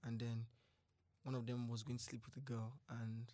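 A young man with a Nigerian accent in crude, animated casual chat, laughing often. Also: medium pitch, moderate pace, no echo.

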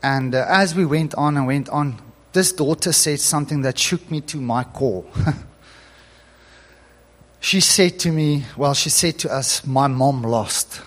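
A man's voice: on the high side, a little slow. A male pastor whose first language is Afrikaans, telling a story in an earnest sermon that builds to emphatic preaching.

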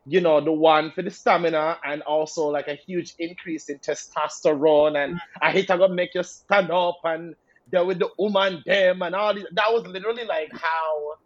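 A man in casual chat, animated and excitable, laughing often as he tells a story. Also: fairly high pitch, moderate pace. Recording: dull lo-fi audio.

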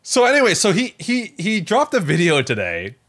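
A man hosting a podcast, casually recounting a story in a rambling, animated way. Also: high voice, normal speed. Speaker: podcast host.

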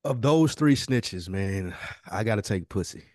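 Deep-voiced, joking male podcast co-host. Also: expressive, unhurried, a little mellow, dry recording. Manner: informal, slangy, joking. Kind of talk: casual chat, joking banter.

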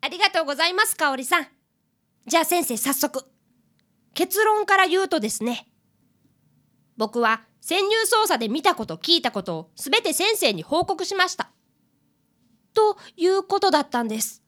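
Woman voicing bright, high-pitched earnest schoolboy detective. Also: big pitch swings, moderate pace, long pauses, exaggerated voice. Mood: eager, dutiful. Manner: polite, formal reporting. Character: earnest fifth-grade boy, apprentice detective. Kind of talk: radio drama acting.

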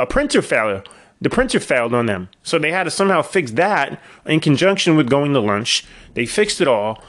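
A man's voice: mid-range voice, a bit bright. Middle-aged New man rambling. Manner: rambling storytelling with restarts and wry asides. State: voice weakened after illness. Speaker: podcast host. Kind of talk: casual solo podcast monologue.

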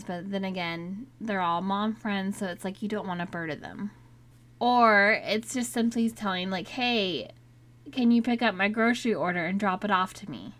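A woman in a casual solo talk, still getting over a cold, so her voice is a little raspy at times; she speaks in a chatty, candid way. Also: mid-range voice, a bit bright. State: recovering from a cold, slightly raspy. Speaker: podcast host.

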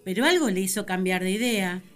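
An actress playing Eve, a young, excitable, self-assured first woman, narrating with dramatic pauses in a radio play. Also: mid-range voice, over music, close to an everyday voice.

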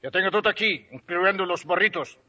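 An actor playing an older village storekeeper in a 1940s radio drama. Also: male voice, sits high, fast, sharp tone, highs cut off, full character voice. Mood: reporting bad news. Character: older Mexican village storekeeper, a father, speaking Spanish. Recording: reverberant old radio recording.

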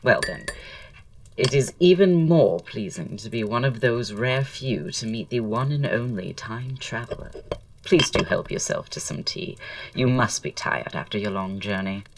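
An actor playing a witty, flirtatious poet and dandy in an audio drama, speaking in florid, arch, self-assured period style. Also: male voice, mid-range voice, unhurried, distant mic, slight background noise, lightly acted, rough recording. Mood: arch, gracious. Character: languid, witty, flirtatious male poet-playwright dandy, proud.

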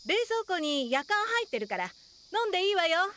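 A kindly but scolding mother in a radio drama. Her voice is very high and lively, with faint insect noise behind. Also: fairly quick, full character voice. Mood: gently scolding.

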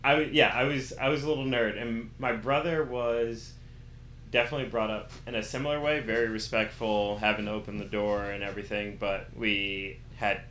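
Male interview guest, bright forceful voice, candid slangy storyteller, roomy echo. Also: fairly low pitch, a little fast. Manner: animated storytelling with acted-out quotes and slang. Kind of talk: casual candid conversation. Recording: roomy echo with faint background noise.

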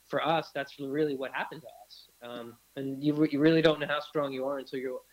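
Older man guest, reflective and halting, mid pitch, casual interview. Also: steady tone, lo-fi sound. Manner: reflective, halting with many restarts. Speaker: podcast guest.

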